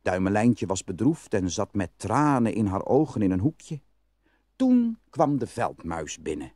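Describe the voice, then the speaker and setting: A man's voice: lowish voice, sing-song, a little slow, dark tone, no room sound, studio quality. A man narrating a fairy tale as a scripted storyteller.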